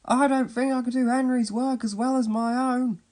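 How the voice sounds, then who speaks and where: A man's voice: very high voice, little pitch movement, moderate pace, darkish tone, pitched above the performer's own voice. A man voicing a vain, pompous, self-satisfied steam engine in a children's storybook. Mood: haughty.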